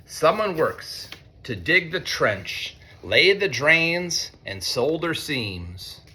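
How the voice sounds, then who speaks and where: An American man, a teacher, reads a picture book aloud to children in an expressive way, with conversational asides. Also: on the high side, a little hiss or hum. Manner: expressive, deliberate when reading, conversational in asides. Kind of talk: read-aloud storytelling with chatty asides to children.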